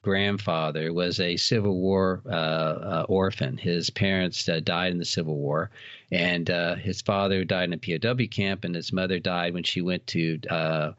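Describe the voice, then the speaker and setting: A man's voice: sits low, steady tone, normal speed, a bit bright. A man talking casually as an interview guest, telling rambling, enthusiastic anecdotes. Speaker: guest, collector and writer. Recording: dull, roomy sound.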